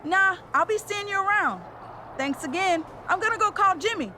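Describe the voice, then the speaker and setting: A woman's voice: very high-pitched, animated, moderate pace, bright, forceful tone, slight background noise, exaggerated voice. Dry, self-assured woman wrestler. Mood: casual, dry. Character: self-assured, friendly female pro wrestler. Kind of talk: video game cutscene acting.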